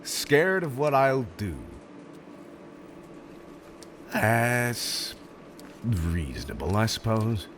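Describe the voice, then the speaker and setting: A man's voice: mid-range voice, big pitch swings, slow, a bit bright, a little hiss or hum. A man playing a theatrical, polite but exasperated supervillain interviewer in a roleplay audio drama, hesitant and mock-professional, prone to chuckling. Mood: amused, candid.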